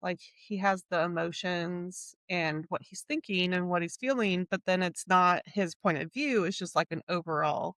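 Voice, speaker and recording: A woman co-hosting a casual book podcast, talking in a rambling way full of fillers and quick laughs. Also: fairly low pitch, fairly bright tone, no room sound. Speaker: podcast co-host.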